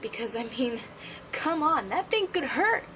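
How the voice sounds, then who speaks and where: A young woman talks casually to her camera, playful and prone to giggling. Her voice sits a little high. There is noticeable noise behind her. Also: big pitch swings, unhurried. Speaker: vlogger. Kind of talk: casual vlog chat.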